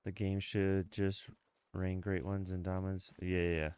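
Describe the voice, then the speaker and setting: Deep-voiced congested man, casual game streamer. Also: completely flat delivery, measured pace, a little mellow, clear, resonant tone. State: congested, sniffly. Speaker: game livestreamer. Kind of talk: casual livestream chat with gameplay commentary. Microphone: close streaming microphone.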